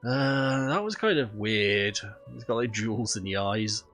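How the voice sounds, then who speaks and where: A man's voice: fairly low pitch, unhurried, fairly bright tone, soft background music. A man with a cold and a stuffy, nasal voice, chatting casually through a video game while streaming, thinking aloud with lots of ums.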